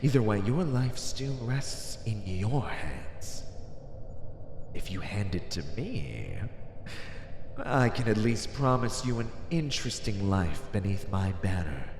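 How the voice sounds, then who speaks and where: A man playing a teasing, domineering villain warlord in an ASMR roleplay, speaking deliberately with theatrical menace and condescension. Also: fairly low pitch, full character voice. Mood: coaxing, commanding. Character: male villain warlord ruler, teasing, domineering, coldly persuasive. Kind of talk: ASMR roleplay, dramatic monologue. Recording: echoey hall reverb, faint noise.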